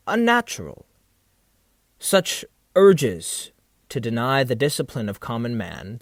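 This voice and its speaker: An actor playing a vain, scheming, seductive military captain in a florid, theatrical audio drama style. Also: male voice, medium pitch, no echo, studio quality. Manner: theatrical, florid, pausing rhetorically. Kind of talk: stage-play style period audio drama acting.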